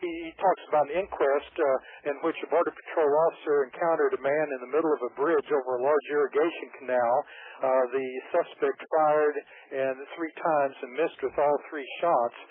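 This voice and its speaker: Halting male caller on phone line. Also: a little high, fairly even intonation. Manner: halting, many fillers. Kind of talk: phone-in call. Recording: tinny phone line over radio with faint hiss.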